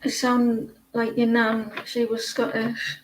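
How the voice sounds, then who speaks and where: A woman's voice: fairly high pitch, monotone, slow, very noisy. A woman in her forties from northeast England, with a northeast English accent, giving a casual, rambling tarot reading full of fillers and restarts. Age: 40s. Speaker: tarot reader.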